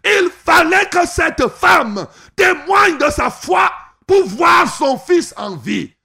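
A high-pitched man preaches in a loud, shouted revival style. His voice is gravelly and bright, with slow, drawn-out phrases that rise into a sing-song chant. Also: sing-song.

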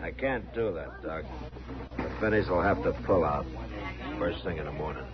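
An actor playing a stern, steady frontier marshal in an old radio western, speaking tersely and firmly. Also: male voice, low-pitched, over loud music, close to an everyday voice. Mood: reluctant, firm. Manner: terse, measured, firm. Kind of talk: old-time radio western drama acting. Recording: noisy, muffled old radio broadcast.

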